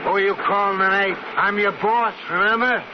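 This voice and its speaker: A man plays a sneering boss who bullies his workers in an old radio play. He barks threats in a high-pitched voice. The audio is lo-fi with clear background noise. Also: steady tone, fairly quick, lightly acted. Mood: threatening, mocking. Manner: barking, threatening, mocking. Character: bullying, sneering male factory boss. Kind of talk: old radio drama acting. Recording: dull, noisy old radio recording.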